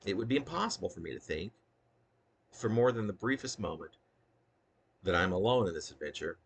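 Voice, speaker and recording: A man with a gravelly, husky voice reading his own poem aloud in earnest, deliberate phrases, with casual asides. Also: fairly low pitch, animated. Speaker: poet reading own work.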